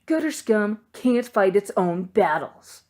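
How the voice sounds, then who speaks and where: A woman's voice: medium pitch, sing-song, slow pace, a little mellow, voice pushed up. Woman playing a bullying teenage boy fencer, arrogant, sneering, contemptuous, storybook delivery. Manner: sneering, superior.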